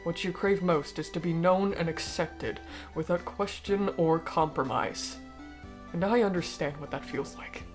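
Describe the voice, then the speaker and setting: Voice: mid-range voice, faint music behind, lightly acted. A performer in a fan dub voicing a cold, aristocratic alien noble who speaks formally and eloquently, bitter yet persuasive. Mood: measured, insistent. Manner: formal, eloquent, measured speech. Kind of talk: fan-dub voice acting of comic dialogue.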